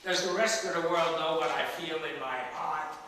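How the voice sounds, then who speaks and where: A man's voice: fairly high pitch, steady tone, normal speed, sharp tone. An actor playing a stubborn, feisty ninety-seven-year-old father in a stage play, defiant, wry and emphatic. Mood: indignant. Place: theatre stage, distant microphone. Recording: echoey, noisy stage recording from far off.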